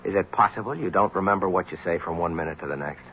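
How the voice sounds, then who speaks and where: A man's voice: deep voice, fast talker, a little hiss or hum. An actor playing a cocky, sardonic male newspaper reporter in a 1970s radio drama. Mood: probing. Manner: sardonic, needling. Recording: dull lo-fi 1970s radio broadcast.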